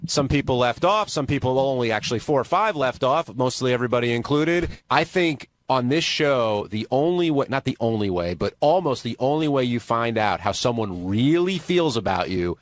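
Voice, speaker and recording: Guy hosting a call-in radio show, normal pitch, casual chat. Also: lively intonation, moderate pace, hardly any pauses.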